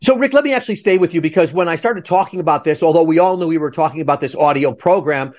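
A man's voice: on the high side, fast, a little mellow. A man hosting a conversational interview, chatty and digressive, with asides to listeners. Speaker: podcast host interviewing theater people.

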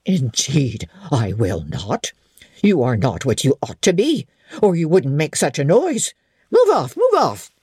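Woman putting on a gruff old servant woman, low and husky, storybook. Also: huge pitch swings, unhurried, a little mellow, no room sound, clean studio sound, exaggerated voice. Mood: suspicious, stern. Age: older. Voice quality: gruff, plain-spoken. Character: blunt, suspicious old female servant.

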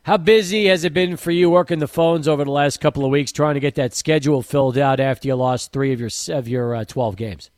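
A man's voice: medium pitch, a little fast, hardly any pauses, darkish tone, no echo, studio quality. A man hosting a sports radio talk show, asking chatty, agreeable questions of his guest. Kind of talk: radio interview.

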